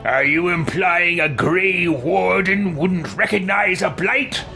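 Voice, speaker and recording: A man's voice: high-pitched, takes it slowly, vocal fry, pushed and bright, soft background music, voice pushed up, exaggerated voice. A man roleplaying a bold, blunt warrior hero from a fantasy video game in a declamatory way. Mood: firm, defiant.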